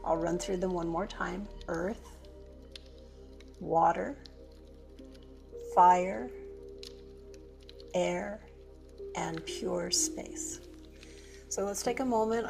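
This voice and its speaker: A woman leading a guided meditation as a yoga teacher, speaking gently and unhurriedly with pauses between phrases. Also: fairly low pitch, vocal fry, soft background music.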